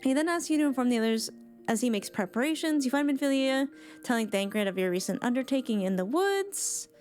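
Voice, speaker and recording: A woman's voice: sits high, animated, brisk, a little mellow. A woman streamer giving casual live reaction commentary on a game, chatty and musing aloud. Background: game music.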